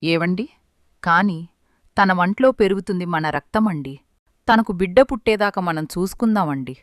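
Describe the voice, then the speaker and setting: A woman's voice: lowish voice, normal speed, dry recording, clean studio sound, lightly acted. A woman voicing a stern older mother-in-law. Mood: pleading.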